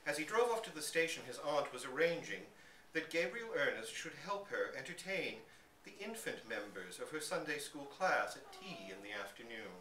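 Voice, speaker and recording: A man giving an expressive live dramatic reading of a literary short story. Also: mid-range voice, normal speed. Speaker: story reader. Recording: echoey room, distant mic, background noise.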